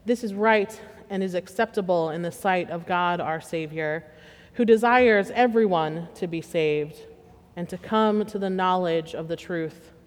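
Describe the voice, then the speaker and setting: A woman from Western Pennsylvania reading scripture and preaching a sermon in a measured, plainspoken way with gentle humor. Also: fairly low pitch. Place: church sanctuary. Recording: long reverb of a church sanctuary.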